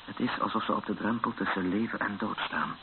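An actor playing a weary, frightened captive who narrates a radio drama in brooding short sentences. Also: male voice, fairly low pitch, fairly even intonation, fairly quick, close to an everyday voice. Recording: muffled, noisy old radio recording.

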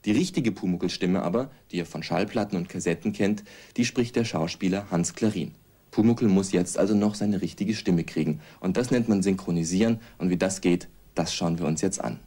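A man narrating an archive TV documentary, explaining clearly to children. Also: low voice, moderate pace, warm tone.

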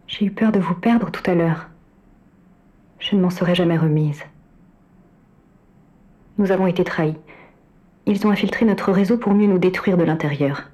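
An actress playing a devoted female AI assistant in a robot body, soft-spoken and politely formal in a French audio drama. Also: lowish voice, highs cut off, natural voice. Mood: relieved, grave. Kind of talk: French audio drama acting, intimate dialogue.

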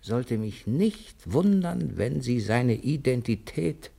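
A man's voice: mid-range voice, big pitch swings, vocal fry, warm tone, full character voice. An actor playing a cool, self-assured man posing as a private detective in a 1960 German radio play. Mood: confident, conspiratorial. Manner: brisk, polite, self-assured.

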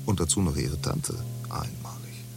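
A gushing, leering talker. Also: male voice, extremely low pitch, moderate pace, creaky, music in the background. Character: leering chatty man at a cinema. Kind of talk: radio play acting.

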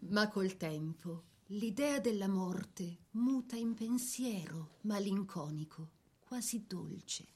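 A woman narrating a scripted literary radio drama in a soft, measured, theatrical way. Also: medium pitch, lively intonation, slightly rough.

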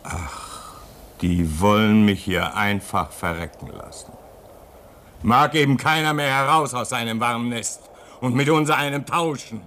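A man's voice: mid-range voice, unhurried, fairly bright tone, slight background noise, close to an everyday voice. An actor playing a homesick, bitter man stranded at a polar station in a radio drama. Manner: bitter, despairing.

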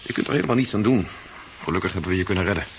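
An actor playing a calm, commanding army general with a dry, jocular streak in a 1970s science fiction radio drama. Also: male voice, deep voice, rapid-fire. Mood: calm, reassuring. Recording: muffled noisy 1970s radio recording.

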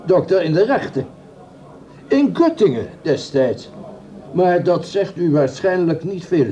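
A jobless male doctor of law in a radio play, a scheming gentleman who looks down on others. His voice is high for a man and mellow, swinging widely in pitch. He speaks with formal, pompous courtesy. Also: sing-song, unhurried, lo-fi sound. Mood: self-important.

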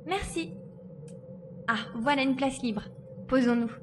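A high, girlish voice, acted by a woman, plays a resourceful adventurer in an audiobook drama. She is lively, confident and a little teasing, explaining things with assurance. A faint noise sits behind her. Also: slow. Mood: focused.

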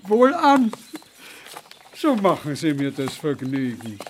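Slow-spoken, hearty count. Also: male voice, fairly high pitch, wildly swooping pitch, a little hiss or hum, heavily acted. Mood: jovial, courtly. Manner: courtly, hearty. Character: jovial, generous aristocratic count, a genial host. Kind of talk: period radio drama acting.

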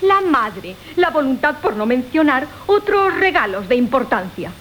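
Una actriz de acento castellano interpreta a una madre astuta y coqueta que engatusa a sus amantes, en una comedia clásica radiofónica, con tono zalamero y teatral. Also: female voice, sits high, big pitch swings, a little slow, a bit bright, heavily acted. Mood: sly, scheming. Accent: Castilian Spanish. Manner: wheedling, theatrical. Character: wily, coquettish mother conning several lovers for money. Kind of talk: classic Spanish comic radio theatre. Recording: hissy 1980 radio drama recording.

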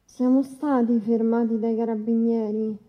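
A woman's voice: a little high, flat delivery, moderate pace, natural voice. An actress playing a young woman testifying as a complainant in a dramatised trial, answering plainly, tersely and with care. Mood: guarded. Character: guarded young female complainant testifying before the judge. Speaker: actor in a radio courtroom play. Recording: dull, long reverberant hall sound.

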